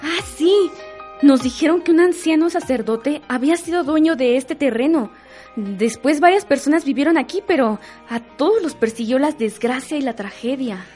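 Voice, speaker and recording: Radio drama housemaid, Mexican woman acting, high voice, respectful, gossipy and superstitious, music underneath. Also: expressive, normal speed, dark tone. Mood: worried gossip. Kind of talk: student radio soap opera acting.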